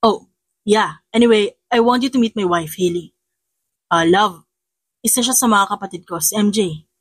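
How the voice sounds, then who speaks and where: A woman's voice: mid-range voice, normal speed, a bit bright, breathy edge, no echo, clean studio sound. Woman acting a composed, firm businessman husband. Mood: casual.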